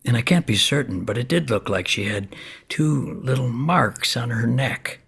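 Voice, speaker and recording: A shabby older neighbour in an audio comedy, with a deeply gravelly, husky voice. He rambles in a folksy, hesitant way, like someone confiding a long tale. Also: normal speed, fairly bright tone, exaggerated voice. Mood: wry, earnest. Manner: folksy, hesitant, meandering storytelling. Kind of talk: comic stage monologue.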